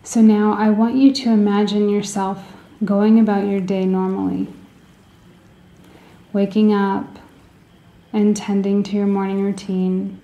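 A woman leading a guided meditation in a soothing, gentle way, with deliberate phrasing and pauses, in a roomy, echoing recording. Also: mid-range voice, level tone, dull audio.

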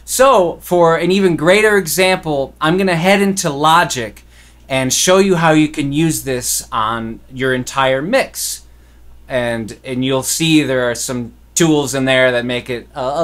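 Young adult man, middle-pitched voice, laid-back, casual tutorial host, small home studio. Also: unhurried, fairly bright tone. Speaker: musician hosting a recording tutorial. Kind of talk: casual instructional talk to camera.

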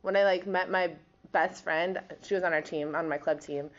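A woman's voice: fairly low pitch, steady tone, normal speed, slight background noise. Bubbly, giggly young woman in dull audio. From Wisconsin. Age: 20s. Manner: bubbly, giggly, chatty with many fillers. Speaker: college volleyball player. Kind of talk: casual interview answers.

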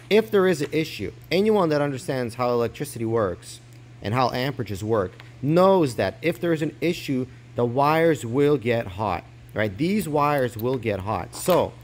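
A man's voice: medium pitch, darkish tone. A man giving a casual hands-on tutorial, explaining step by step while filming close up on his phone at a desk. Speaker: crypto mining hardware explainer. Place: indoors at a desk. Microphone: phone held close, filming his hands.

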